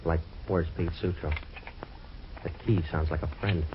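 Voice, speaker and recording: An actor playing a hard-boiled waterfront detective in a 1940s radio drama, with dry, clipped wisecracks. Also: male voice, deep voice, fairly even intonation, moderate pace, close to an everyday voice. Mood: sardonic. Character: wisecracking tough waterfront private eye, man. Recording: dull old radio broadcast with faint hiss.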